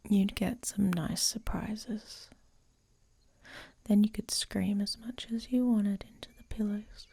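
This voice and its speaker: A woman playing a dominant, teasing female werewolf in an intimate ASMR audio roleplay, with a breathy, whispery voice and a commanding manner. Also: medium pitch, fairly even intonation, takes it slowly, mellow, full character voice. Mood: seductive, commanding.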